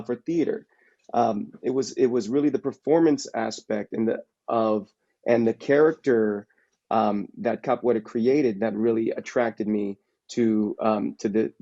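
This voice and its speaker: A man from the Bay Area talks things through on a panel, pausing often and feeling his way toward each point. His voice is mellow and warm, at an ordinary pitch. The video-call audio comes through dull and lo-fi. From San Francisco Bay Area. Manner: halting, reflective, searching for words. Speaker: capoeira practitioner and actor on a panel. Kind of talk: casual panel discussion.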